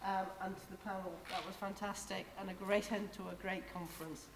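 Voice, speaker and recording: A woman giving an effusive, grateful closing speech as outgoing chair, her voice going hoarse. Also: mid-range voice, fairly even intonation, a little fast, noisy background. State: losing her voice. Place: large conference hall. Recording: distant mic in a reverberant hall.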